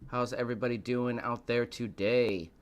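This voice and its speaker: A man hosting a live stream, a little hoarse, greeting chat and building up the evening with showy, dramatic lines. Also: medium pitch, steady tone, normal speed. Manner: showy, dramatic build-ups addressed to viewers. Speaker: host of a live stream show.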